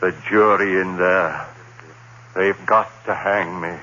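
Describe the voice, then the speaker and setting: A man playing a terrified condemned prisoner recounting his nightmare in halting, anguished phrases, in the style of 1940s radio horror. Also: low voice, fairly even intonation, pushed and bright, highs cut off, full character voice. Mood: brooding fear. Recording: noisy, band-limited old radio recording.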